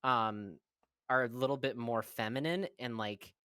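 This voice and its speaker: A young adult guest in a casual podcast chat, talking in a relaxed, rambling way with lots of fillers. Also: mid-range voice, unhurried, clear, resonant tone, dry recording, studio quality.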